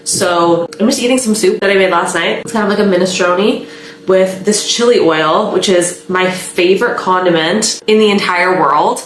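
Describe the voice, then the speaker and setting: A woman's voice: on the low side, moderate pace, a little hiss or hum. Sniffly woman chatting at home. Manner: rambling, chatty, upbeat asides. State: sniffly, slightly sore throat. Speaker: vlogger. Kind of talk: casual chat to camera. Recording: echoey room.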